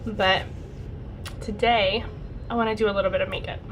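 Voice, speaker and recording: A young woman vlogger chatting casually through a makeup routine, her voice a bit raspy and her nose congested from a cold. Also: medium pitch, steady tone, normal speed, dull audio, a little hiss or hum. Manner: chatty, rambling, lots of "like" and "super". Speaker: beauty vlogger. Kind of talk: casual makeup tutorial chat.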